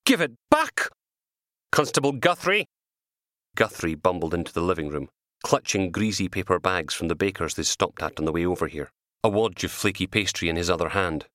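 A man reading his own crime novel as an audiobook, in an animated scripted narration. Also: low voice, brisk, no room sound, clean studio sound.

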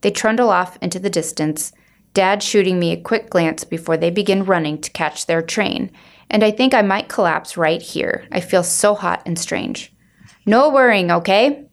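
A woman's voice: fairly low pitch, moderate pace. A woman host reading a children's novel aloud for a library podcast, then chatting casually at the end. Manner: friendly, chatty outro.

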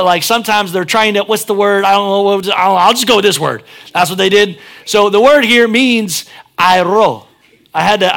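Joking, emphatic pastor with a drawl. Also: male voice, sits high, gravelly, fairly bright tone. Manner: conversational and joking, rising to emphatic peaks. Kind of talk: sermon.

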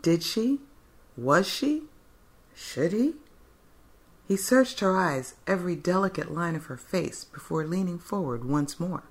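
A woman's voice: lowish voice, animated, measured pace. A woman narrator giving a scripted dramatic reading of fan fiction.

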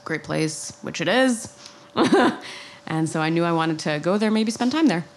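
A woman's voice: fairly low pitch, animated, unhurried, slight background noise. An American woman telling personal stories in a casual, conversational way as a podcast guest. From New York and New Jersey, United States. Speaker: podcast guest, travel writer.

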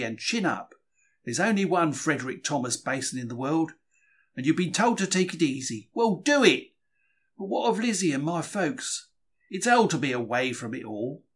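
A man reading a diary dramatically as a gutsy, emotional Cockney bookseller in wartime, colloquial and confiding. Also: a little high. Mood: weary, low. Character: gutsy, emotional working-class London bookseller diarist in wartime. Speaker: reader.

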